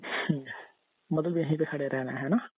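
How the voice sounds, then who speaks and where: A man's voice: on the high side, fairly even intonation, moderate pace, slightly rough, no echo. A man playing a teasing young husband in intimate casual banter, coaxing and insisting playfully. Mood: playfully insistent. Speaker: husband in a couple's call. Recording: tinny narrow phone-call sound.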